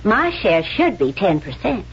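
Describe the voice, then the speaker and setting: A woman's voice: mid-range voice, big pitch swings, measured pace, slightly rough, heavily acted. An actress in a radio drama playing a spunky, shrewd young woman with a poised, probing, coolly teasing manner. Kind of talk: 1970s radio drama acting. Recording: dull vintage radio recording.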